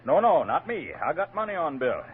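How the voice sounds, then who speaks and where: An actor playing a brisk, eager frontier gambler in an old radio western. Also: male voice, on the high side, very animated. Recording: muffled, faintly noisy vintage radio recording.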